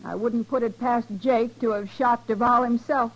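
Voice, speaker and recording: A woman acting a bitter, protective middle-aged frontier mother in a 1950s radio western, with terse, flat delivery and rustic grammar. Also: on the high side, moderate pace, rough recording. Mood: guarded, bitter.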